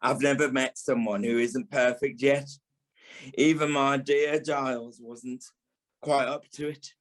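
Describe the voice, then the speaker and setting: An actor playing a camp, sharp-tongued British dance teacher and former stage dancer in an audio play, theatrical and sarcastic. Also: male voice, medium pitch, fairly even intonation, unhurried, close to an everyday voice. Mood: encouraging, wry. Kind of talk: audio play monologue and dialogue.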